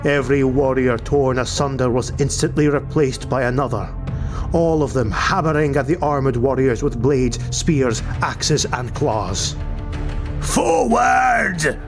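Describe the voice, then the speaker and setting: A man narrating a fantasy audiobook in a measured, theatrical, dramatic style. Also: medium pitch. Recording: music behind the voice. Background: music.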